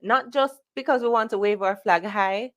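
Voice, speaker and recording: A woman's voice: medium pitch, moderate pace. A woman with a Jamaican accent hosting an online conference, greeting viewers and introducing the next speaker. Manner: upbeat, welcoming roll call of viewers. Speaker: conference host. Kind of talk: livestream event hosting.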